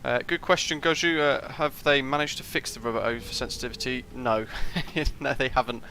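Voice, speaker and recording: A man's voice: medium pitch, steady tone, normal speed, vocal fry, pushed and bright, a little hiss or hum. A young British man casually commenting on a live flight sim stream, rambling with lots of fillers and answering chat.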